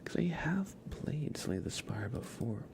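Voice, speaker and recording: A man hosting a casual ASMR livestream, speaking in a soft, hushed, whispery murmur close to the microphone. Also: medium pitch, big pitch swings, unhurried, creaky.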